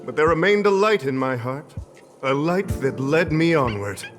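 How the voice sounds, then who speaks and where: A man's voice: a little high, expressive, moderate pace, close to an everyday voice. A man voicing a vain, theatrical professor in a video game, pompous and grandiloquent. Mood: grandiose. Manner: pompous, grandiloquent, hesitating when cornered. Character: vain, theatrical male professor adored by fans. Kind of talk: theatrical game character dialogue. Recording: game dialogue with music behind.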